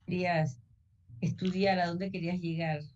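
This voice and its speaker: A Panamanian woman interviewing an author, asking halting questions with several restarts. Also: fairly low pitch, level tone, a little mellow, far from the mic, dull audio.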